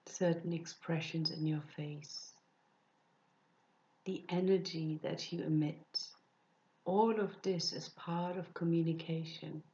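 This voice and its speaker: A woman leading a guided meditation, speaking softly and soothingly, often dropping into breathy whispers. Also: low voice, fairly even intonation, lo-fi sound. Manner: slow, soft, soothing with breathy whispered phrases.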